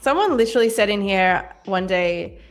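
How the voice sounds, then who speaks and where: A woman's voice: mid-range voice, animated, moderate pace. A woman in her twenties co-hosting a live trading stream, chatting casually, laughing often and reading viewer comments aloud. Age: 20s. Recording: faint music underneath.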